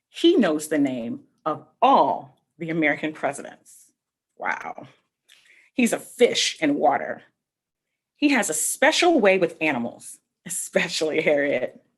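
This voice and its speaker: A woman reading a picture book aloud to children, explaining things simply with asides and questions in a slightly husky voice. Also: low-pitched. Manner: expressive, explaining simply and addressing young listeners with questions. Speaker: read-aloud host for children.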